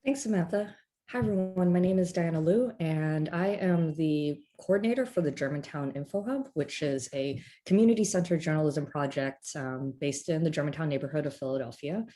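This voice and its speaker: A woman from California speaking as a panel guest, clear and composed. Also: sits low, a little fast, mellow. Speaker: panel guest, journalism coordinator. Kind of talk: casual panel talk.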